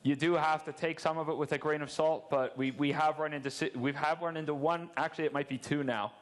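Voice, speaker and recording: A man's voice: fairly high pitch, steady tone, fast. A man in his thirties fielding audience questions at a convention talk on a headset mic, candid and joking, often restarting his words. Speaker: security presenter at a game convention. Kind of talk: conference Q&A answers. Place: large dark convention stage.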